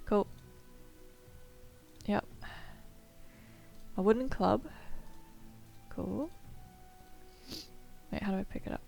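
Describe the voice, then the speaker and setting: A woman's voice: mid-range voice, slow pace, gravelly, pauses often, darkish tone, soft background music. Chatty woman gamer, a bit nasal. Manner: chatty, upbeat, muses aloud with fillers. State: slightly nasal from a cold. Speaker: gameplay streamer. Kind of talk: casual let's-play commentary, reading tutorial text aloud.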